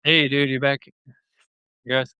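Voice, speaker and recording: A man's voice: mid-range voice, little pitch movement, slow, clear, resonant tone, no echo, highs cut off. A man hosting a podcast in a casual, chatty style. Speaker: podcast host.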